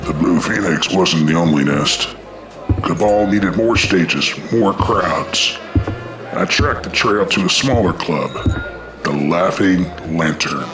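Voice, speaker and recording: A man playing a grim, world-weary dragon detective, terse and deadpan, in a noir radio play. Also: deep voice, takes it slowly, over music. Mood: brooding. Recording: echoey, noisy background.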